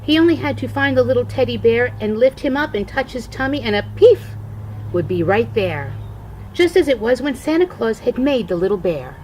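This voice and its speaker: Grandmother storyteller: older woman, slightly high pitch, deliberate and emphatic read-aloud, some background noise. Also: very animated, a little mellow, low-quality recording.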